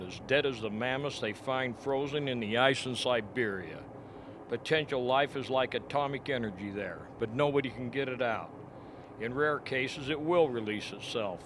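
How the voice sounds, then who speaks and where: A man playing a matter-of-fact, skeptical expedition member in a radio drama, explaining in a measured way. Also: mid-range voice, noisy background, natural voice.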